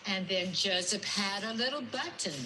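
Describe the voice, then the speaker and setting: A woman speaking as a preschool teacher, instructive and deliberate, using simple wording. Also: medium pitch, noisy background. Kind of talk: instructive talk to and about young children.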